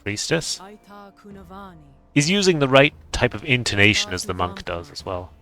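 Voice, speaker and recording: A man with a deep voice and a bright, forward tone talks through a game showcase. He is casual and unscripted, rambling a little as he thinks aloud. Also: sing-song, moderate pace. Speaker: gaming video creator.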